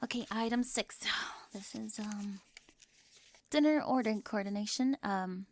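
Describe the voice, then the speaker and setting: A young woman acting a bossy, easily flustered, over-organized high school girl with a crush, in an ASMR roleplay. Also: unhurried, a little mellow, natural voice. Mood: businesslike. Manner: indignant, emphatic, easily flustered, stammering when caught.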